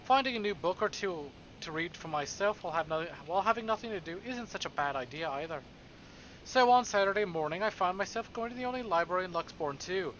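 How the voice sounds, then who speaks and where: A man reading a visual novel aloud on a live stream, with casual, excitable, foul-mouthed reactions. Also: high voice, quick pace, dull audio, slight background noise.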